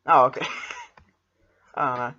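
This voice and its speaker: A man's voice: mid-range voice, steady tone, slow pace, bright, forceful tone, lo-fi sound, slight background noise. A young man with an English accent, chatting casually as a podcast host in a hesitant, self-deprecating way.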